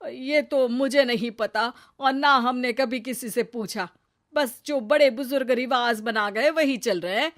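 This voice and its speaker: Woman as high-voiced, stern mother-in-law. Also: unhurried. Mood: curt. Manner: sharp-tongued, sarcastic. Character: stern, scolding middle-aged mother-in-law, easily offended. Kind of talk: animated moral story dialogue.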